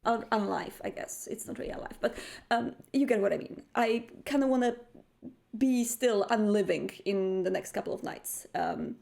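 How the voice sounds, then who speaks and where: A woman's voice: on the high side, moderate pace, gravelly, mellow. A woman improvising dialogue as a tabletop roleplay player, phrasing things politely and carefully, with a lot of hesitation.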